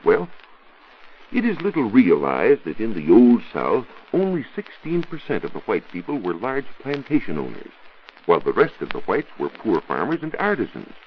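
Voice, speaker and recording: A man's voice: fairly low pitch, wildly swooping pitch, normal speed, a little hiss or hum. An American man working as a science reporter, explaining in a formal, didactic way in a scripted 1940s educational radio talk. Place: radio studio. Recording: muffled 1940s radio recording.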